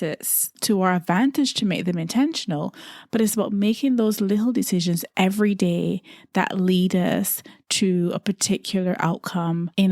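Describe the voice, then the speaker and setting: A woman's voice: lowish voice, darkish tone, no echo, clean studio sound. A middle-aged woman from Barbados hosting a solo podcast, talking in an earnest, musing way and repeating phrases for emphasis. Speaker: podcast host. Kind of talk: reflective solo podcast talk.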